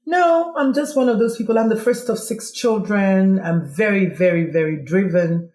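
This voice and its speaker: Nigerian woman with a mellow voice. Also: mid-range voice, moderate pace, roomy sound. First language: Igbo. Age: middle-aged. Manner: animated, quoting herself in dialogue. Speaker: guest. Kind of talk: casual interview storytelling.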